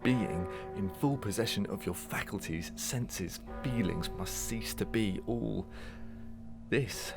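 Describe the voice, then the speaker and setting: A man's voice: lowish voice, slow, creaky, over music. A man playing a proud, bitter condemned murderer in a Victorian melodrama, brooding and theatrical in archaic diction. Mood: brooding, defiant.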